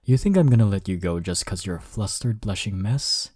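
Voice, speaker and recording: Deep-voiced, cocky flirtatious college rival acted by a man. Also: animated, brisk, slightly rough, no echo, clean studio sound. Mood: playful, teasing. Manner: smug, flirtatious, drawling teasing with soft chuckles. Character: cocky, teasing male college rival smitten with listener. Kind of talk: intimate romantic audio roleplay.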